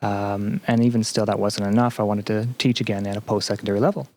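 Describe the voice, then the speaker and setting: A man with a fairly low voice speaks as a guest on a podcast. He talks casually and haltingly, often pausing to find the word he wants. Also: steady tone, darkish tone. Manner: halting, searching for words. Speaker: podcast guest, graduate student. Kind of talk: casual interview chat.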